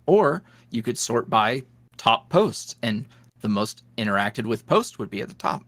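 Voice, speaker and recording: A man's voice: mid-range voice, big pitch swings, unhurried, a bit bright, no room sound, studio quality. A man being interviewed as a guest, chatting casually and telling rambling stories with frequent laughs. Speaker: ASMR roleplay creator, podcast guest.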